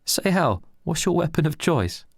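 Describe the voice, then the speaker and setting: A man's voice: a little high, sing-song, moderate pace, creaky, no room sound, clean studio sound, pitched above the performer's own voice, heavily acted. A man voicing a sarcastic, mischievous young man with a mocking way of talking.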